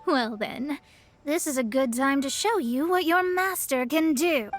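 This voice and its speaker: A woman's voice: sits high, expressive, a little slow, soft background music. A young woman voicing a proud female astrologist who speaks in formal, lofty words with a haughty air.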